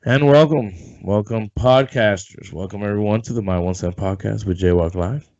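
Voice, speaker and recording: A man hosting a casual sports podcast, rambling and loose, swearing offhand, a bit hoarse as if coming down with something. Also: on the low side, normal speed, a little mellow. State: losing his voice, feeling sick. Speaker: podcast host. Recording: dull lo-fi sound.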